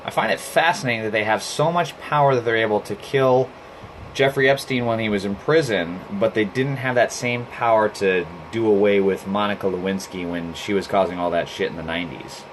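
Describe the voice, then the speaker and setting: A man's voice: fairly low pitch, fairly quick, a little hiss or hum, rough recording. A man from Costa Rica hosting a live stream, talking bluntly and heatedly in a casual monologue, swearing freely and often restarting his sentences.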